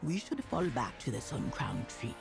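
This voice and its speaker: A woman voicing a solemn woman of a forest-dwelling fantasy people in a video game, speaking in a grave, measured, ceremonial way. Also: rumbling low, sing-song, creaky, music in the background, heavily acted.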